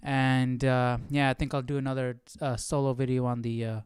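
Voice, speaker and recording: A man's voice: mid-range voice, little pitch movement, moderate pace, a little mellow, clean tone, dry recording, clean studio sound. A man hosting a livestream, chatting casually and thinking aloud in a rambling way. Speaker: livestream host of a Bible study series.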